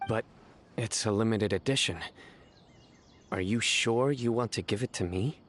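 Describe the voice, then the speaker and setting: A man playing a serious, brooding young man in a video game, terse and deliberate in his delivery. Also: lowish voice, fairly bright tone, a little hiss or hum. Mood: stunned.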